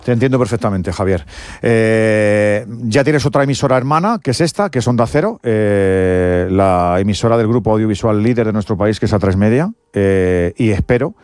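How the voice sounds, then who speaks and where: A man's voice: lowish voice, expressive, normal speed, a little mellow, no room sound, studio quality. A man with a Castilian Spanish accent hosting a live sports radio show, speaking emphatically and repeating words as he searches for phrases. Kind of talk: live radio interview and commentary.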